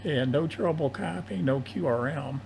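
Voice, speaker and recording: An older man speaking casually and haltingly as an amateur radio operator during an AM shortwave contact. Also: a little high, vocal fry, darkish tone. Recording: AM ham radio audio, faint hiss.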